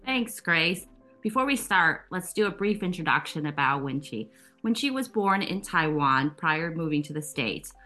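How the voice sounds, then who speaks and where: A woman co-hosting a podcast, reading a scripted introduction of the guest in an even delivery. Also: sits low, normal speed, faint music behind, poor audio. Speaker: co-host.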